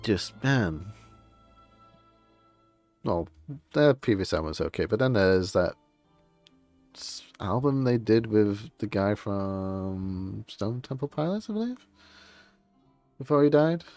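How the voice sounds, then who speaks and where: A man's voice: lowish voice, animated, takes it slowly, pauses often, a little mellow, dry recording, faint music behind. A man streaming a game and chatting casually, rambling through his opinions in an informal, grumbling way.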